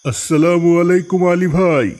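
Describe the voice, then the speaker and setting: A man's voice: high voice, moderate pace, a little mellow, natural voice. A man voicing a generous neighbour in a cartoon, polite and deferential in manner. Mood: polite, friendly. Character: courteous, generous male neighbour bearing gifts. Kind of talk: animated cartoon dialogue. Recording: music behind the voice.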